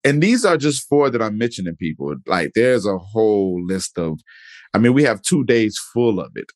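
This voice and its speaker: A man hosting a podcast interview in relaxed, casual chat, rambling with many fillers and restarts. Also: on the low side, moderate pace, no echo, clean studio sound. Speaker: podcast host.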